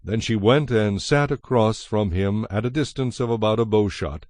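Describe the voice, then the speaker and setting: A man giving a measured, solemn narration of scripture as the narrator of a dramatized production. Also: fairly low pitch, dry recording, studio quality. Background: soft music.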